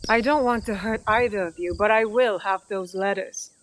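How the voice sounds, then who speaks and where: A woman's voice: medium pitch, normal speed, a little hiss or hum, close to an everyday voice. An actress playing a cold, controlled female ninja in a video game, speaking with firm menace. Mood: firm warning. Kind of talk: video game cutscene acting.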